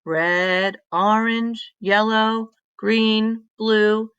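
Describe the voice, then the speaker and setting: A woman narrates a children's story with deliberate expression. Her voice is bright and clearly projected, at a middle pitch. She takes her time over the sentences, and the sound is close and dry. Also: dry recording, dull audio. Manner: deliberate, animated reading with emphatic exclamations. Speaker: read-aloud storyteller.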